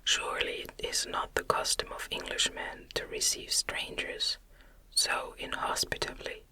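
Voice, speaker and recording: A narrator voicing a polite, bewildered gentleman castaway in an audiobook, in an ASMR whisper. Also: a little slow. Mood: indignant.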